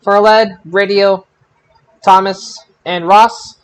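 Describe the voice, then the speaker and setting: A man hosting a podcast casually, with halting delivery that often repeats words. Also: high voice, steady tone, smooth, rough recording. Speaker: podcast host.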